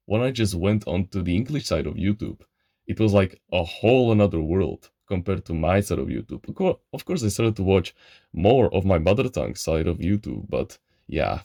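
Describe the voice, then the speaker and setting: A man's voice: low voice, creaky, a little mellow, no room sound, clean studio sound. A young man from Eastern Europe, a non-native English speaker, telling a personal story in a chatty, slangy way and calling the listener bro. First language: an Eastern European language. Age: young adult. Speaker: channel host. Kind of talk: casual storytelling monologue.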